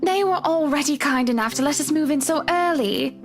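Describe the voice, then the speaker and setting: An actress playing a genteel, wistful married woman in a visual novel game, speaking politely and in measured phrases with a creak in her voice. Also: high-pitched, soft background music. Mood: polite, resigned.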